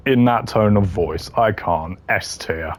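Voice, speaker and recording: A man's voice: on the low side, measured pace. A man giving casual, opinionated gaming commentary in a blunt, slangy way, ranking voice lines. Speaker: gamer ranking game voice lines.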